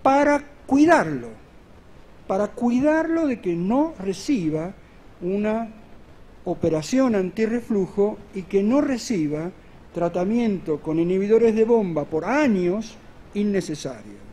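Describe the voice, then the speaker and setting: A man's voice: sits high, expressive, unhurried, mellow, a little hiss or hum. A middle-aged man giving a medical conference lecture at a podium in a large hall, explaining earnestly and posing rhetorical questions. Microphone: podium microphone on a stand.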